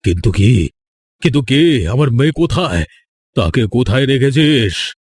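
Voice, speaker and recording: A man's voice: mid-range voice, sing-song, measured pace, breathy edge. A man voicing a proud, domineering village landlord and father in a cartoon, blustering and repeating himself. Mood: angry.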